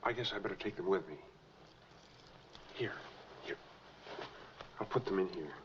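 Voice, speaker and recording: A man's voice: low voice, normal speed, close to an everyday voice. An American actor in middle age playing a hunted, determined man in 1940s film noir, speaking in clipped, hard-boiled phrases. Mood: urgent, decisive. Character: hunted, determined man trying to clear his name. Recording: muffled, noisy 1940s film soundtrack.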